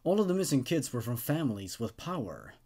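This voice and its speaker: A man's voice: medium pitch, sing-song, a little fast, dark tone, slightly rough. A man hosting horror story readings, moving between narration and informal, casual commentary. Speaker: host narrating horror stories.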